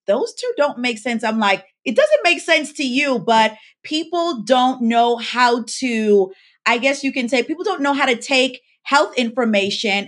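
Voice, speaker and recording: A woman's voice: a little high, a bit bright. A woman from the Bahamas, a pharmacist and life coach, telling her story as a podcast guest and acting out the quoted lines. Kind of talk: casual interview chat.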